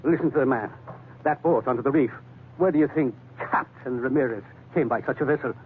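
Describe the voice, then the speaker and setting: A man's voice: medium pitch, fairly quick, phone-quality sound, lightly acted. A man playing a bitter, agitated doctor in a 1940s radio drama, speaking in a scornful, accusing, querulous manner. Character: bitter, agitated Irish doctor stranded in tropics. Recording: thin old 1940s radio recording, faint noise.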